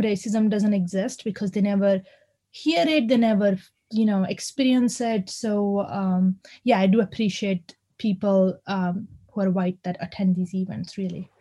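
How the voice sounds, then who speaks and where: A woman's voice: medium pitch, mellow. A woman from India with an Indian accent, a university lecturer speaking hesitantly with many fillers and restarts at a community discussion. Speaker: university lecturer, audience member. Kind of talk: unscripted remarks at a community discussion.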